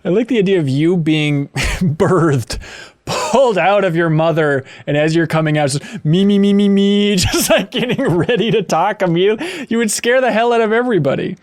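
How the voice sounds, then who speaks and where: A man's voice: high voice, moderate pace, no echo, clean studio sound. A man hosting a podcast, reading out listener questions and joking around in casual chat. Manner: playful, reads listener questions. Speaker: podcast host.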